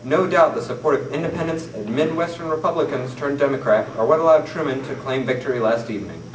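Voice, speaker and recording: A teenage boy reading a mock 1940s newscast from paper at a classroom table, in a formal, steady manner. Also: mid-range voice, quick pace. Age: teens. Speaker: student newsreader. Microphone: far camera mic. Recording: roomy, distant camera audio with faint hiss.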